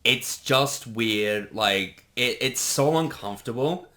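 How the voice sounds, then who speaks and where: A man's voice: mid-range voice, expressive, slow pace, pushed and bright. A man giving casual, rambling creator commentary, often interrupting himself. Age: 30s. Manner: hesitant, rambling, self-interrupting.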